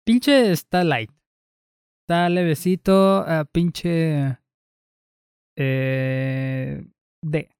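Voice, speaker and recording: A man's voice: fairly high pitch, a little mellow, clear, resonant tone, dry recording, studio quality. A young man with a Mexican accent, co-hosting a casual podcast chat full of slang. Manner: slow, drawn-out, casual slang. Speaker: podcast co-host.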